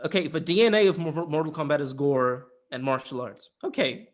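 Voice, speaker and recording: A man's voice: fairly high pitch, a little fast. A young man chatting casually as a film review co-host, opinionated and given to repeating words for emphasis.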